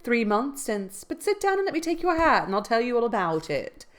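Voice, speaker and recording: A woman voicing an old housekeeper who talks in a brisk, fussing, exclaiming way. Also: a little high, sing-song, pitched above the performer's own voice. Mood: chatty.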